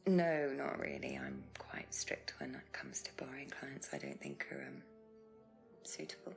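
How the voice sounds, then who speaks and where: A woman's voice: extremely low pitch, huge pitch swings, a little slow, gravelly, in a whisper, music in the background, heavily acted. An actress playing a guarded, curt pub landlady in a filmed mystery game. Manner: guarded, evasive.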